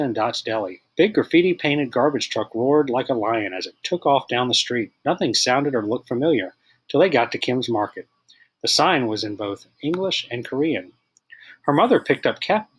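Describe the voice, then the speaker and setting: A man narrates a children's storybook in an expressive read-aloud style. His pitch is mid-range and his voice is slightly husky. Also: low-quality recording. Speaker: teacher reading aloud.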